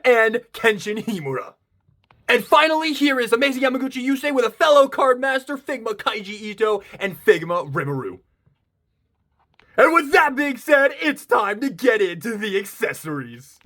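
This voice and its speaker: High-voiced enthusiastic man reviewing toys. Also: pushed and bright. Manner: gushing, enthusiastic fan talk. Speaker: toy reviewer. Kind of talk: casual unboxing and review chat.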